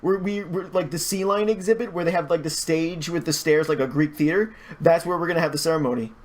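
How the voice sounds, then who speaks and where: Higher-pitched man in casual, stammering chat. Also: fast. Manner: animated retelling with stammered false starts and repeated words. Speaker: podcast guest, hobby artist and fandubber.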